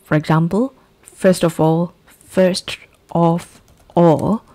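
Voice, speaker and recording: A woman from Myanmar with a Burmese accent, an English teacher giving an exam-prep lesson and repeating key phrases slowly to drill them. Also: on the low side, steady tone, warm tone.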